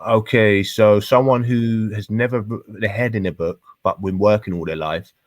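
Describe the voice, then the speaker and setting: A British man in his thirties in a heated debate, speaking in halting, insistent, repetitive bursts with sweary slang. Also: fairly low pitch, fairly even intonation, no echo, studio quality. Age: 30s.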